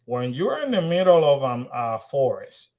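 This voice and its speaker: Man, casual livestream co-host, middle pitch, repetitive chatty delivery. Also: big pitch swings, moderate pace, a little mellow. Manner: repetitive, restarts often. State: hoarse after losing voice.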